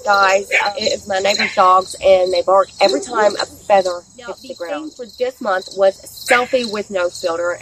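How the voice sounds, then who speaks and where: A woman talks through a casual makeup review outside, upbeat and full of "y'all" and "guys." Her voice sits at an ordinary middle pitch with a slight scratchiness from a recent sore throat. Faint outdoor noise runs under the recording. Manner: chatty, enthusiastic, says "y'all" and "guys" often. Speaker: beauty vlogger. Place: outdoors in a backyard. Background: crickets and insects, barking dogs.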